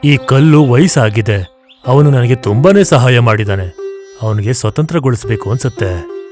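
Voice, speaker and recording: A man playing a kindly, grateful farmer in a children's cartoon, gentle and earnest. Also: mid-range voice, expressive, moderate pace, soft background music, close to an everyday voice. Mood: thoughtful.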